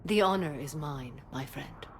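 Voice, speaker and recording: An actress playing a stern, ageless alien warrior-nun and mother in a video game cutscene, speaking in a solemn, measured, formal way. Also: sits low, slight background noise. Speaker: justicar. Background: orchestral score.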